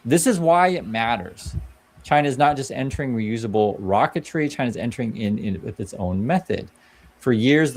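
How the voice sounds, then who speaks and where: Creaky low-voiced man explaining casually. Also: a little mellow. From Vietnam. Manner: conversational, keeps asking "right?". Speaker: commentator on a played video clip.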